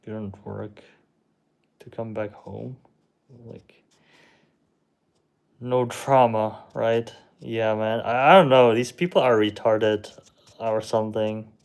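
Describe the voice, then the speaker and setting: A young man whose first language is Czech, hosting a casual livestream and answering chat in a slow, hesitant, shrugging way. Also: fairly low pitch, fairly even intonation, gravelly. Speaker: livestream host reading chat.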